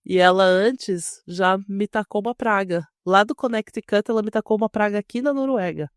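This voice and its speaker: A woman's voice: mid-range voice, moderate pace, clear, resonant tone, dry recording, studio quality. A woman co-hosting a podcast in casual chat. She has a cold and sore throat, so she sounds nasal and stuffed up, and she jokes and laughs a lot. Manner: joking, laughs often. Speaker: podcast co-host.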